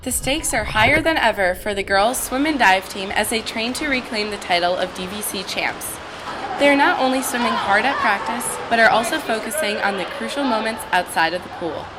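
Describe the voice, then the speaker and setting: Woman reporter reading her piece, a bit high, noisy background hiss. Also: animated, a little fast, crowd noise. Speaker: student reporter. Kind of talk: scripted news report. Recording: noticeable background noise.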